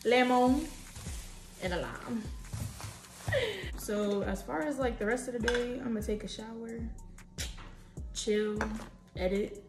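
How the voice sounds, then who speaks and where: A young woman in her twenties chatting casually to camera in a home kitchen, slangy and animated as she cooks. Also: a little high, takes it slowly, long pauses, roomy sound, over music, poor audio. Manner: chatty, slangy, animated, talks while cooking. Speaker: vlogger. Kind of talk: casual vlog chat to camera. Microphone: camera on a tripod at a distance.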